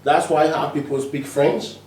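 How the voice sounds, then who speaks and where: Ghanaian guy, mellow dark voice, halting chat, kinda far from the mic in an echoey room. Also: on the high side, fairly even intonation. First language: a Ghanaian language. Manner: halting, searches for words with drawn-out uhs. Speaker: podcast co-host. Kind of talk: casual chat. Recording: roomy, from off the mic.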